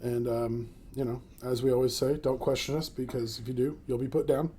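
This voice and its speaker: Mellow-voiced joking male podcast host. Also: mid-range voice, level tone, fairly quick, a little hiss or hum. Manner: joking, filler-heavy, laughs often. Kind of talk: casual comedic podcast chat.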